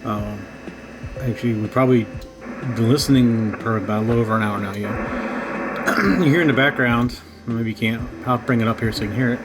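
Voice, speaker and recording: A man hosting a casual, unscripted radio hobby video, talking in a halting, low-key way as he thinks aloud. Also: noisy background. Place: home radio shack.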